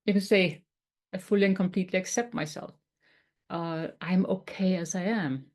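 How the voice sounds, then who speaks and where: Lower-voiced Danish woman teaching calmly. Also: normal speed. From Denmark. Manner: gentle, explanatory, occasional non-native phrasing. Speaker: tapping coach. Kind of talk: webinar teaching.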